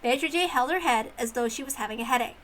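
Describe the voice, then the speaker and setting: A woman's voice: high voice, fast, fairly bright tone. A woman reading a visual novel aloud as dramatic scripted narration.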